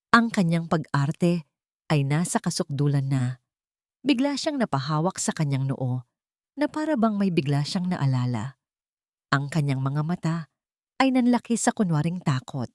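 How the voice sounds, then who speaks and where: Woman, story narrator, lowish pitch, very varied intonation, dramatic scripted delivery, dry sound. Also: very animated, normal speed, pauses often, darkish tone, no echo.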